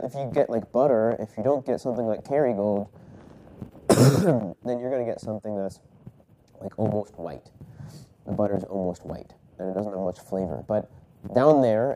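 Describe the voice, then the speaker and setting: Low mellow guy w a stuffy cold, coughing now and then, rambly podcast chat with lots of ums. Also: moderate pace, low-quality recording. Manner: rambling, self-correcting, many fillers. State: congested, recovering from a cold, coughing. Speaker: podcast host. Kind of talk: casual solo podcast storytelling.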